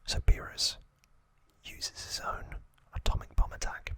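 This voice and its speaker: A man hosting ASMR, narrating in a breathy, unvoiced whisper with a gentle, deliberate manner and frequent pauses. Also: dry recording. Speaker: ASMR channel host.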